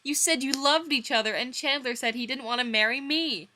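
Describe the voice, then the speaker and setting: Woman acting a shrill, furious betrayed girlfriend. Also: a little fast, heavy background noise, lightly acted. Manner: indignant, accusing, shrill.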